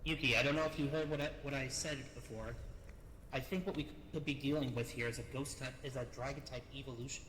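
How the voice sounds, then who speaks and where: A man improvising in-character role-play, haltingly repeating his phrases as he goes. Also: mid-range voice, steady tone, a bit bright. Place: voice chat in virtual reality game. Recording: echoey with faint background noise.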